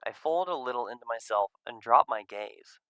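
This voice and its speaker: A man reads first-person visual novel narration. His delivery is expressive with a lively range, and the voice is clean and resonant in a very dry recording. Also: medium pitch, moderate pace, no room sound, low-quality recording.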